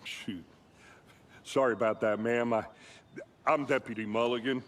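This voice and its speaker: An actor playing a folksy, bumbling, eager small-town deputy with a stammering, awkward manner in a video game. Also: male voice, mid-range voice, fairly even intonation, unhurried, fairly bright tone, close to an everyday voice. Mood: flustered, apologetic. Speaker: sheriff's deputy. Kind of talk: cinematic video game acting.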